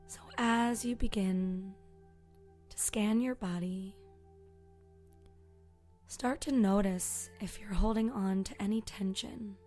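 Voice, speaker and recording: A woman leading a guided meditation as a yoga teacher, speaking softly and soothingly with long pauses and a voice that can trail toward a breathy whisper. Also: mid-range voice, steady tone. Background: soft meditation music with singing bowl tones.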